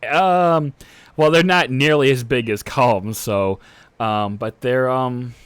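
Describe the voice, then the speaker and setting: A man's voice: medium pitch, slow, clear, resonant tone, no echo, lightly acted. A man voicing a friendly, chatty female elf barkeep, talking with many fillers. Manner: hesitant, lots of fillers.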